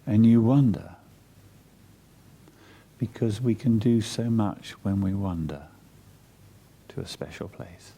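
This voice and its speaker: A man with a very dark, mellow voice guides a meditation at an unhurried pace. His tone is soft, husky and breathy. He speaks soothingly, in short measured phrases with long pauses between them. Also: on the low side.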